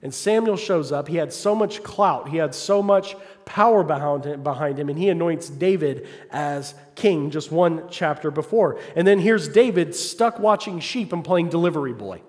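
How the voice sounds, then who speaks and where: A man preaching a sermon, calling for "amen" from the congregation and swinging between loud, fervent passages and quiet asides. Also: on the high side, moderate pace, hardly any pauses. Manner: call-and-response, asks congregation "Amen?". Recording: long hall reverb.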